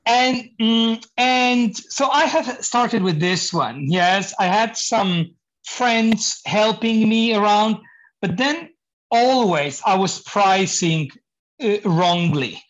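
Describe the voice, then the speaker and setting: A man from Poland with a Polish accent, speaking English as a second language, halting and searching for words, laughing now and then. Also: high-pitched, animated, fairly bright tone, muffled recording. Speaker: guest, painting contractor. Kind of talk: interview conversation.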